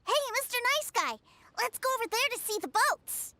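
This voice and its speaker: A woman's voice: extremely high pitch, sing-song, moderate pace, background noise. A woman playing an innocent, eager little boy who adores his big brother, as a video game character. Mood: eager, proud. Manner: eager, guileless, childlike. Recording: game music behind the voice. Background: game music.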